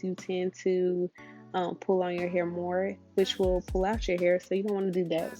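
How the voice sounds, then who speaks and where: A young woman with a slightly raspy voice, chatting casually and in a relaxed way through a hair-care tutorial as a beauty vlogger. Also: on the low side, dull audio.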